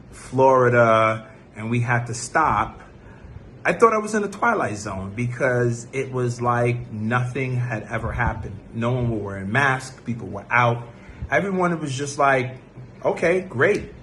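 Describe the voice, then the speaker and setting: A man with a medium-pitched voice explains things from personal experience as a vlogger. He is emphatic and repeats points for weight, with faint noise in the background. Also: fairly bright tone. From born in Jamaica, grew up in the United States. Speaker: vlogger sharing a travel experience. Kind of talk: explanatory personal talk with examples.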